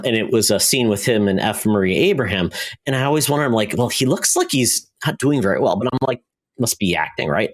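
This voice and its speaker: A man co-hosting a podcast, chatting casually about TV shows in an easygoing, conversational way. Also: lowish voice. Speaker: podcast co-host.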